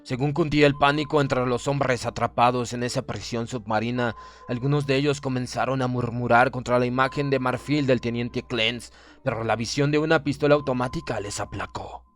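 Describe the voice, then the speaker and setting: A man's voice: mid-range voice, fairly even intonation, a little fast. Man narrating a horror audiobook over music. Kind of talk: dramatic scripted narration of a horror story. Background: background music.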